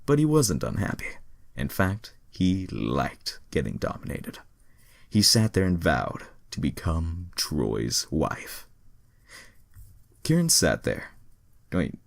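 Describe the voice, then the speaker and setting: A man's voice: sits low, sing-song, slow pace, vocal fry, pauses often. A man reading a story aloud in a mock-dramatic way, casually breaking into profane commentary. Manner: profane, exasperated asides. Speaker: reader and commentator. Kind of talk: mock-dramatic reading of crude fan fiction with casual asides.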